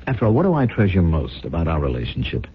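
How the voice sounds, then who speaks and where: An actor playing a cynical, scheming gold-digger husband in a radio drama, theatrical, smug and mock-tender. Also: male voice, deep voice, big pitch swings, fast, slight background noise. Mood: self-important. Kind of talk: radio drama acting and first-person narration. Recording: dull lo-fi 1970s radio broadcast.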